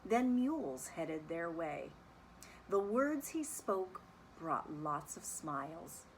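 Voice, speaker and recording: A woman speaking as a music teacher, reading a children's story and explaining it in an animated, deliberate, instructive way. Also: on the low side, slight background noise, low-quality recording. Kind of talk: expressive children's read-aloud and lesson.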